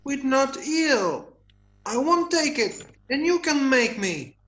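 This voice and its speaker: A stubborn small boy, acted by a woman, fairly high in pitch and slow to get his words out. He is blunt, petulant and defiant, a child from a stage musical being read aloud. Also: very animated, fairly bright tone, lo-fi sound, exaggerated voice. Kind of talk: amateur musical play read-through.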